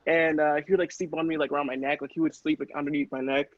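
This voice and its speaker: A man's voice: on the high side, little pitch movement, fast, no room sound. A man casually telling a personal story in a rambling, hesitant, self-questioning way. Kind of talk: casual personal anecdote chat. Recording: dull, dry, lo-fi audio.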